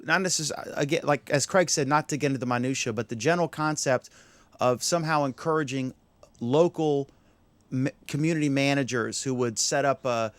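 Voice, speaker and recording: A man's voice: mid-range voice, normal speed, no echo. A man hosting an online panel discussion, asking long, rambling questions that he keeps breaking off and restarting. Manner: rambling, self-interrupting questions. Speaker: show host. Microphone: video call.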